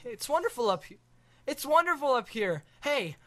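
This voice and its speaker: A man voicing an excitable young boy who sounds eager and a little nervous. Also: very high-pitched, normal speed, voice pushed up, exaggerated voice.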